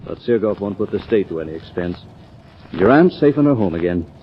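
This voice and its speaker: An actor playing a suave, urbane gentleman sleuth explaining things in old radio drama style. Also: low voice, expressive, a little fast. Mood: calm, satisfied. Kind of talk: 1940s radio drama acting. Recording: muffled, noisy old radio recording.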